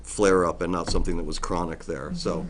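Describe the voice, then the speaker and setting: Booming low-voiced forest ranger. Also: male voice, fairly even intonation, brisk, background noise. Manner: loud, plainspoken, with frequent ums and restarts. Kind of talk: informal briefing at a public meeting. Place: town meeting room.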